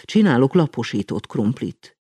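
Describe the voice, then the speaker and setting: A man voicing a cheeky, practical young woman who speaks briskly and teasingly. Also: medium pitch, big pitch swings, mellow, husky, no echo, pitched above the performer's own voice.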